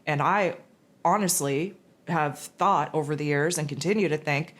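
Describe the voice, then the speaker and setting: A raspy, hoarse woman hosting a spiritual forecast, talking in a loose, rambling casual monologue. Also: sits low, moderate pace. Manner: rambling, loose, with many fillers and restarts. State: hoarse from losing her voice.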